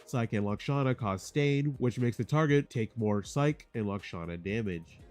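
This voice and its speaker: A man reading scripted, explanatory narration for a game review in a measured way. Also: mid-range voice, darkish tone, faint music behind.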